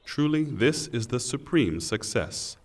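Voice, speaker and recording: A man reading a scripture translation in a solemn, measured and deliberate way. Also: mid-range voice, big pitch swings. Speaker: translation reader. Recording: long hall reverb.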